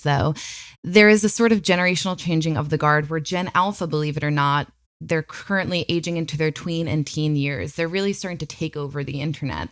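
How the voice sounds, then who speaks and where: A woman's voice: deep voice, no echo, studio quality. A woman in her thirties hosting a podcast, asking curious, thoughtful questions. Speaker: podcast host. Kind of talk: interview questions.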